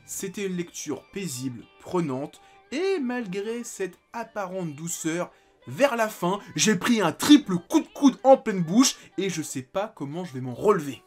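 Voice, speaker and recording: Excitable young man reviewing manga. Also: on the high side, slightly rough. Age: 20s. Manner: animated, bursts into excited exclamations. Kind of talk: enthusiastic video review.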